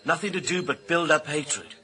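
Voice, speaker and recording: A sardonic British police detective in a radio crime drama. His voice is fairly high for a man and sharp, with a wry, grumbling delivery thick with slang. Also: moderate pace. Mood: musing, sceptical. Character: grumbling, sardonic male police colleague of the inspector. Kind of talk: radio thriller acting.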